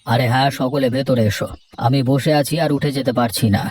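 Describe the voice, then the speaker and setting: A man playing an avuncular village headman in a cartoon, giving advice in a measured, instructive way. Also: mid-range voice, fairly even intonation, a little mellow, no room sound, close to an everyday voice. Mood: welcoming, questioning. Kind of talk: cartoon dialogue.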